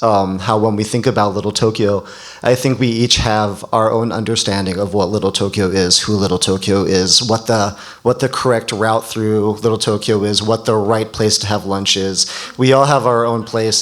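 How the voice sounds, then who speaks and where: A man hosting a live event in a casual, chatty and jokey way, speaking informally to an audience. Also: lowish voice. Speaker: event host, emcee. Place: on stage at a live awards event.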